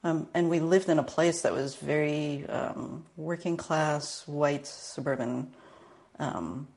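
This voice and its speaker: Low, creaky-voiced American woman. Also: fairly even intonation, takes it slowly, a little mellow. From Northern Virginia, United States. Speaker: guest, martial arts and spiritual teacher. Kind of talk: reflective personal storytelling in conversation.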